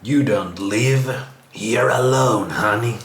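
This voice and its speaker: A man's voice: mid-range voice, slow. A man with a Finnish accent giving casual, sarcastic reaction commentary, mocking and crude in his wording. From Finland. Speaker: vlog host. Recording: roomy echo, some background noise.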